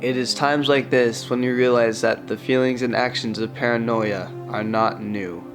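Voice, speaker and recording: A man reading documentary narration in a reflective, even way. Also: medium pitch, moderate pace, music in the background. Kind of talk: scripted narration.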